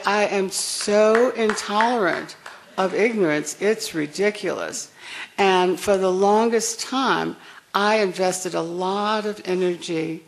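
Soft-voiced older woman, slow and gravelly. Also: on the low side. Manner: frank, wry, chuckling asides. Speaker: panelist. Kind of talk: panel discussion.

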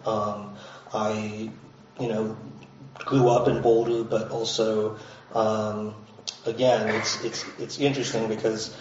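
A man introduces himself on a panel in a low, even voice that barely rises or falls, stumbling through many uhs and restarts. He is far from the microphone, and his voice is dulled and washed in heavy room echo. A faint noise sits underneath. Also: slow pace. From United States. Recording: distant, dull, very echoey room.

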